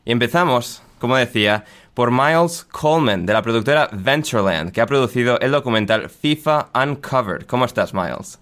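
A man's voice: medium pitch, lively intonation, moderate pace, a bit bright. A man hosting a podcast interview, chatty and rambling as he asks questions. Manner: chatty, rambling with fillers and restarts. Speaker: podcast host.

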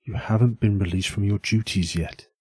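A man playing a stern but caring priest and father in an audio drama, speaking with grave, measured authority. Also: lowish voice, fairly even intonation, a little mellow, breathy edge, no echo. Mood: stern, formal.

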